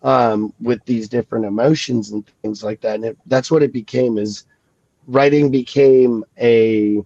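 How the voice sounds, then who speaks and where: A man with a gravelly edge to his voice, sniffling and stuffy from a cold. He talks slowly and reflectively, with lots of fillers and restarts. The sound is dry and a bit dull. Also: mid-range voice, fairly even intonation, dark tone, no echo. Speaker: guest comic creator. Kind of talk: casual podcast chat.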